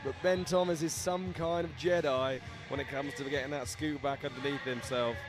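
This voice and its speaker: A young man hosting live commentary at a scooter event, excitable and laughing, reading out viewer shout-outs. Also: medium pitch, normal speed, music in the background.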